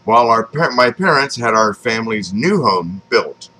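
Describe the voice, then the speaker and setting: Male memoir narrator, fifties, American accent. Bright, animated intonation, deliberate scripted read. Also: on the low side, low-quality recording. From Texas, United States. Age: 50s. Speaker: author reading his own memoir.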